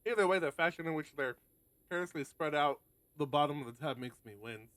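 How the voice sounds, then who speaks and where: A man's voice: on the high side, quick pace, no room sound. A man streaming a visual novel, reading the narration aloud with casual asides and the odd stumble and correction. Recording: prominent guitar music behind voice. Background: loud plucked guitar game music.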